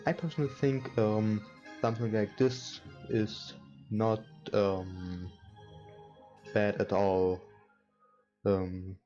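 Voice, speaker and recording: A non-native English-speaking man hosting a let's play, reading game text aloud and commenting casually in a hesitant, halting way. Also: lowish voice, mellow. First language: not English. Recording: dull lo-fi mic, music behind. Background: game music.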